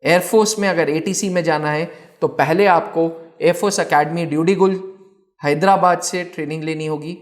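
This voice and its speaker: A man presenting an educational explainer as an instructor, addressing students with emphatic, deliberate phrasing and repeating words for stress. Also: on the high side, steady tone. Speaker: instructor presenting a defence exam channel.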